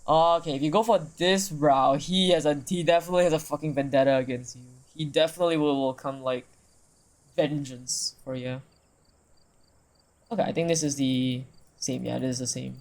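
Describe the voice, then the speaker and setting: A streamer talking casually and excitedly through a visual novel, with slangy, sweary commentary. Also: medium pitch, normal speed. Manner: animated, slangy, profane.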